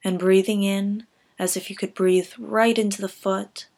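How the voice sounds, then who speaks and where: A woman reading a scripted guided body scan meditation in a calm, gentle, measured way, softening at the ends of phrases. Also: mid-range voice.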